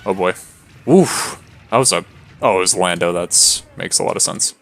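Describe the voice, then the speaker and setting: A worn-out man speaks in a very low voice with plenty of gravelly fry. He rambles in a flat monotone, stalling on fillers and false starts as he plays and chats to his viewers. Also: moderate pace. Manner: rambling, many fillers and restarts. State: tired. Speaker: streamer. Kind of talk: casual gameplay commentary.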